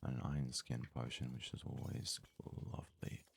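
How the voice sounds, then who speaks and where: A man giving hushed, unhurried ASMR gaming commentary, murmuring as if thinking aloud in a husky, breathy voice. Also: very deep voice, flat delivery, noisy background. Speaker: let's play host.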